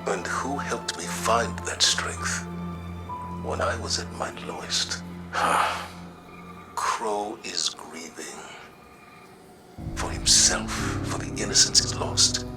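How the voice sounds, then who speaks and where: An actor playing a solemn, middle-aged military commander in a video game cutscene, speaking in a formal, measured, earnest way with weary compassion. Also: male voice, sits low, vocal fry, full character voice. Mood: subdued, sorrowful. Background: music.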